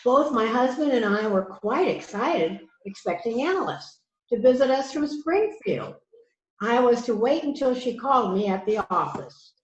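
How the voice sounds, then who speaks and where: Earnest wife acted by an amateur woman, highish animated voice, mystery play reading, echoey muffled sound. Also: unhurried. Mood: explaining. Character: earnest wife pleading innocence to a detective. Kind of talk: amateur readers theater mystery. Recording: echoey, muffled online call.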